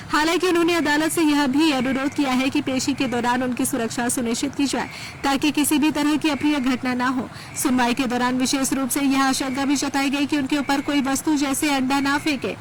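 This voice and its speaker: Female news reader, high voice, scripted bulletin delivery, some background noise. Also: rough recording. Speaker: news presenter.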